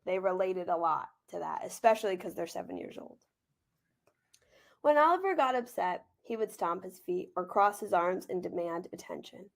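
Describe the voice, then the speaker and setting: A woman reading a children's picture book aloud as author and teacher, gentle and expressive, with informal asides. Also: lowish voice, moderate pace, vocal fry.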